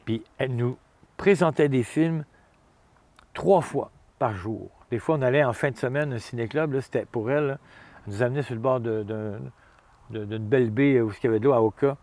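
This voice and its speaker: A man's voice: medium pitch, fairly quick, darkish tone, no room sound. A man with a Montreal Quebec French accent, talking casually as an interview guest, informal and anecdotal, repeating himself for emphasis. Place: outdoors in the Gaspé region.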